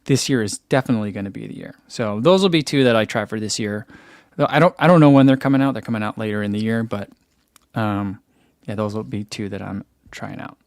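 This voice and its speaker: A man's voice: fairly low pitch, fairly quick, dry recording, studio quality. A young man with a soothing voice hosting a casual running live stream from home, chatting and reading comments aloud into a desk microphone. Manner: relaxed, chatty, laughs easily, many fillers. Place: home room. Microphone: desk microphone by computer.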